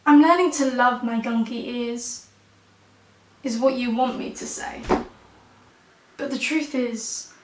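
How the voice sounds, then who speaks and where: Young woman doing spoken word, high croaky stuffy voice, dry jokey punch, sounds a bit far off in an echoey room. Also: takes it slowly, pauses often, a little hiss or hum. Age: 20s. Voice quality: croaky, blocked-nose voice. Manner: wry, self-mocking, punchy delivery. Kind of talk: spoken word poetry performance.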